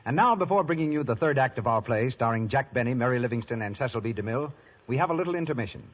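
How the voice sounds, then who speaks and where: A man's voice: mid-range voice, expressive, rapid-fire, slightly rough. An American man announcing an old radio theater broadcast in a formal, grandiloquent scripted style. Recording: dull old radio recording.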